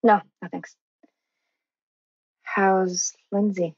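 A woman playing a fed-up, sardonic teenage girl in a drama table read, with a husky, creaky voice. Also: mid-range voice, level tone, very slow, dry recording, lo-fi sound, full character voice. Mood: wry.

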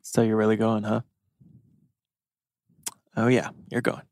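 A man's voice: on the low side, completely flat delivery, unhurried, a little mellow, no room sound, studio quality. A man playing an awkward, wisecracking teenage boy who stammers and rambles, in a teen drama table read. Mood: awkward, deflated.

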